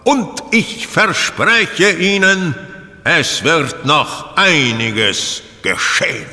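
An actor playing a grandiose lord, declaiming pompously in a melodrama for an audio play. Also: male voice, a little high, animated, extremely slow, pushed and bright, exaggerated voice. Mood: ominous, resolute. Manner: pompous, dramatic. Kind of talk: theatrical stage declamation. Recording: long hall reverberation.

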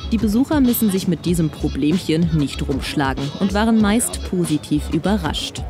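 A woman narrating a local television news report in a clear, even scripted voice. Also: lowish voice, normal speed. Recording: voice-over with music underneath.

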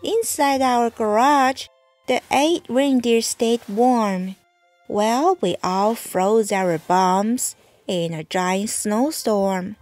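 Slow, high-voiced woman reading a bedtime story. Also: no room sound, soft background music. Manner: slow, deliberate, expressive phrasing. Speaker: mother reading a picture book aloud. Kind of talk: bedtime story read-aloud in rhyme.

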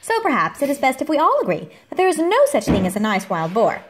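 A woman reading a picture book aloud to a child at home, expressively, with theatrical emphasis and dramatic pauses. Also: high voice, huge pitch swings. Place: indoor room at home. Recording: home recording with clear background noise, clicks.

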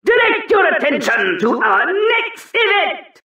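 Very high-pitched echoing circus ringmaster. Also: male voice, big pitch swings, unhurried, pushed and bright, exaggerated voice. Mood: showy, excited. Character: showy flea-circus ringmaster, breathless showman. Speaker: ringmaster announcer. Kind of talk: theatrical announcing, video game acting. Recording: echoing, far from the mic.

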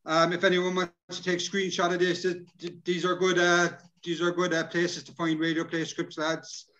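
An Irish man presents casually to an online workshop, his voice a little higher than usual for a man. He is matey and halting, and the video-call sound is somewhat dull and lo-fi. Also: completely flat delivery, sharp tone. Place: indoor room, on a video call.

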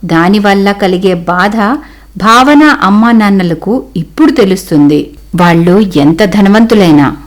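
A woman's voice: medium pitch, measured pace. A woman narrating a scripted cartoon story as its storyteller.

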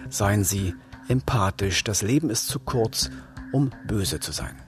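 A man's voice: lowish voice, measured pace, over loud music. A man, talking as a journalist and co-host on a true-crime podcast.

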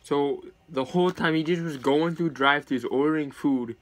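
A man's voice: a little high, normal speed. A young man giving a casual, slangy, profane reaction vlog, recorded at a desk in a small room. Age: young adult. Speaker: reaction video host. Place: small indoor room at home.

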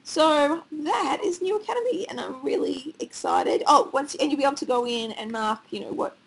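A woman's voice: on the high side, vocal fry, husky, low-quality recording. Australian woman, sick with a cold and losing her voice; chatty, rambling, filler-heavy home walkthrough. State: has a cold, nearly lost voice. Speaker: online course creator. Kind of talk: casual screen-share walkthrough. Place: at home with children nearby.

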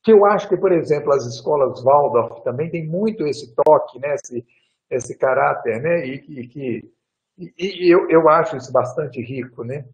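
A man's voice: fairly high pitch, normal speed, highs cut off. An older man giving a talk over a video call, rambling with frequent tag questions and restarts. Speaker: guest lecturer. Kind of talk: relaxed lecture answering questions. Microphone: video call webcam.

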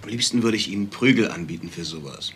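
Man acting a bitter low-voiced bodyguard. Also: quick pace, a bit bright, slight background noise, natural voice. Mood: hostile. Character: bitter widowed male bodyguard facing police questions. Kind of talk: German crime radio drama acting.

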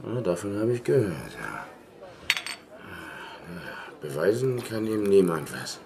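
A man's voice: low voice, big pitch swings, slow pace, gravelly, crowd noise, exaggerated voice. An actor playing a tipsy, garrulous seaman in a radio drama, rambling and repeating himself. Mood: gossiping.

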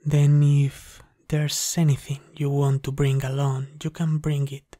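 A man's voice: fairly high pitch, monotone, measured pace, warm tone, dry recording, clean studio sound, lightly acted. A man playing a possessive, dominant vampire captor in an ASMR roleplay, speaking in a menacing, condescending half-whisper. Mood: condescending, threatening. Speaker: ASMR voice actor.

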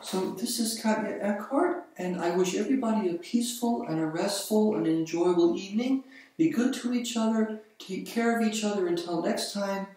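A calm, unhurried voice talking through a Zen teaching in a slow, meditative way. It is heard from well away from the microphone, and the sound bounces hard around a small, bare indoor room. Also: mid-range voice. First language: not Japanese. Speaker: vlogger. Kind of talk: reflective monologue on a Zen koan. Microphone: far camera on the floor. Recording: very echoey room, voice from far off.

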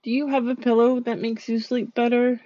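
Sweet, shy dream eater spirit, a woman voicing her, soft soothing ASMR delivery, dry close mic. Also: on the high side, flat delivery, moderate pace, dry recording, dull audio, natural voice. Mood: gentle, soothing. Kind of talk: ASMR sleep-aid roleplay.

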